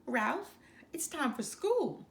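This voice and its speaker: A woman's voice: high-pitched, big pitch swings, slow pace, vocal fry, voice pushed up, heavily acted. A woman voicing an enthusiastic, easily baffled father in a children's storybook, exclamatory and full of wonder. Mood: coaxing. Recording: roomy, echoing sound.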